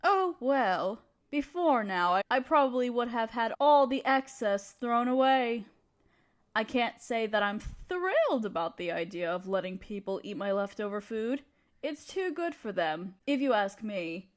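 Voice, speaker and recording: Evil queen for fantasy audio drama, female performer: high-pitched, haughty and conniving, grand theatrical delivery, talks down to everyone. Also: lively intonation, a little slow. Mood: disdainful. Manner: imperious, theatrical, condescending. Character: scheming evil queen, haughty tyrant gloating to her advisor. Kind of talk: scripted roleplay audio drama monologue.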